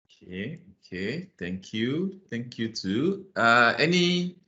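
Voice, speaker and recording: A low-pitched man leads an online finance lesson, patient and encouraging as he walks through definitions. His voice comes through a roomy, slightly muffled call line. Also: fairly bright tone. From Nigeria. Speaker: financial modeling instructor. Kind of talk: live online class, explaining and inviting answers. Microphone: video call.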